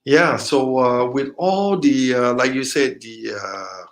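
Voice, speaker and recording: A man hosting a casual online interview, with a gravelly, creaky edge to his voice. He talks in an upbeat, halting way, full of fillers. His pitch sits in the middle range. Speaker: interview host.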